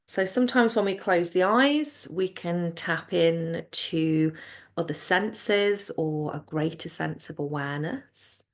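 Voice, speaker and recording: A woman leading a guided relaxation as a yoga nidra teacher, speaking in a soothing, unhurried and gentle way. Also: lowish voice.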